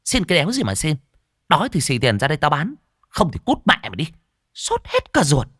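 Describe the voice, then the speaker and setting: A man's voice: huge pitch swings, brisk, a bit bright, breathy edge, dry recording, clean studio sound, full character voice. A man voicing a fat, foul-mouthed, furious woman who runs a rice eatery, scolding and cursing in barked questions. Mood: cursing angrily. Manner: shrill cursing, scolding, barking rhetorical questions.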